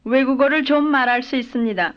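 A woman giving careful, measured full-sentence answers as the model speaker in a recorded language drill. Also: high voice. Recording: dull lo-fi old lesson tape.

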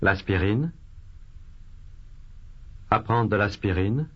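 A patient with a cold, read by a man for a language lesson, in a lowish, husky voice. He says each line slowly and carefully, then repeats it. The recording is muffled and lo-fi. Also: lively intonation, full character voice. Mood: plaintive. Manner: slow, careful, repeated phrases. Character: adult male patient with a cold. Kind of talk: scripted language drill dialogue. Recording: dull lo-fi course tape.

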